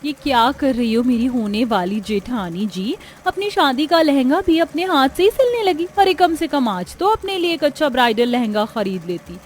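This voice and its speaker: A woman's voice: sits high, lively intonation, normal speed, hardly any pauses, slight background noise, voice pushed up. A woman voicing a haughty, boastful rich young bride-to-be in a cartoon, talking in a showy, condescending way. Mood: taunting.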